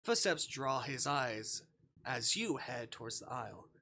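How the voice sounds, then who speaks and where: Animated, bright-voiced man livestreaming. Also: mid-range voice, a little slow, slight background noise. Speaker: livestreamer playing a visual novel. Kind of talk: casual gaming stream, reading game text aloud with offhand commentary.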